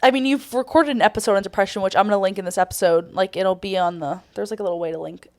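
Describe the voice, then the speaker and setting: A woman's voice: mid-range voice, rapid-fire. A young woman hosting a podcast in casual chat, giggly and chatty, with a stuffy nose. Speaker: podcast host.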